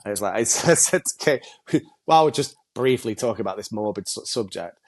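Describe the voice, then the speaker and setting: A man's voice: on the low side, expressive, brisk. Middle-aged man with a cold. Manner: chatty, self-mocking, laughs often. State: stuffy nose from a cold. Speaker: co-host. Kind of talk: casual chat.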